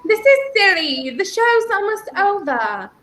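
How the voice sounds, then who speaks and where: Young woman acting a 1930s radio heroine, extremely high voice, swooping intonation, earnest, prickly. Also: very animated, measured pace, a bit bright, heavily acted. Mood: puzzled, impatient. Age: young adult.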